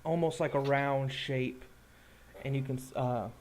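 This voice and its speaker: Male game master, mid-pitched, unhurried and halting, describing scenes off the cuff. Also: steady tone. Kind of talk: improvised narration of a tabletop roleplaying game.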